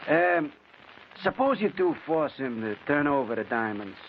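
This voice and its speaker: A skeptical crook, muffled old radio. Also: male voice, mid-range voice, very animated, a little slow, creaky, a little hiss or hum. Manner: blunt, doubting. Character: wary, skeptical male crook, a doubting accomplice. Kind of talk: old-time radio drama acting.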